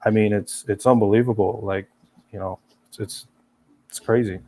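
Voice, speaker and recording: Guest, young adult man, no accent, earnest, slow and halting with uhs. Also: lowish voice, steady tone, dark tone. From Serbia, raised in USA. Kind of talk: casual interview chat.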